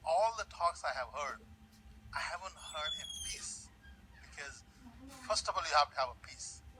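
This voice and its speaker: A man's voice: on the high side, animated, normal speed, bright, forceful tone, slight background noise. A man from Nepal, asking an earnest, pleading question from the floor of a large conference hall and repeating his key words. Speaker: audience member asking a question. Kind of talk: public question at a panel.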